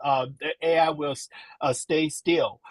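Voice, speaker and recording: A slow-spoken woman gives interview answers in a husky voice. She halts often and fills the gaps while she searches for her words. The sound is dry and close. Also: low voice, steady tone, dry recording. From Taiwan. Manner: halting, searching for words, many fillers. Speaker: professor and guest.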